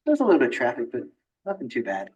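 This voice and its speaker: Husky, polite interview applicant. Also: male voice, medium pitch, huge pitch swings, racing, a little mellow, highs cut off. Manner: polite, short careful answers. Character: nervous adult male immigrant applicant, polite. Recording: instructional video played from a distance.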